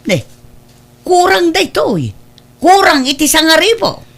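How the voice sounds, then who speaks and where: A woman's voice: very high-pitched, big pitch swings, unhurried, full character voice. A woman with an Ilocano Filipino accent playing a demanding, money-minded mother in a family audio drama.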